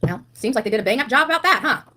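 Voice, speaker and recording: A woman's voice: a little high, animated, very fast, bright, forceful tone, a little hiss or hum. A woman delivering an impassioned monologue as an online commentator, blunt and indignant, leaning on rhetorical questions and emphatic repetition. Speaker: online commentator on a missing-child case.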